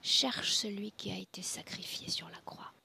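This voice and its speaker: A woman guiding a trance session in a solemn, deliberate, commanding way, often dropping to a breathy hush. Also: medium pitch, steady tone, whispered. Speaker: spiritual hypnosis session guide. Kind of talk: guided trance session, slow solemn address.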